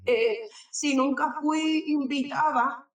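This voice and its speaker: A middle-aged woman from Puerto Rico with a Puerto Rican accent, giving a halting religious testimony that is full of fillers as an interview guest. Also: high-pitched, distant mic. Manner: halting, often tags sentences with a questioning filler. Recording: echoey room, speaker away from the mic.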